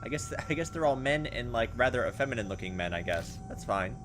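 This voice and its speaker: A man's voice: medium pitch, expressive, brisk. A man hosting a let's play, reading visual novel text aloud and chatting in a hesitant, thinking-aloud way. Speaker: gaming video host. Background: game music.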